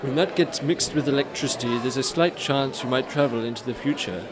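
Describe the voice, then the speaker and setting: An actor playing a haughty, bewildered Renaissance knight in a comic radio play, declaiming in archaic, self-important speech. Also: male voice, medium pitch, brisk, a crowd in the background, natural voice. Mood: haughty, curious. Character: pompous Renaissance knight, bewildered time traveller, haughty and literal-minded.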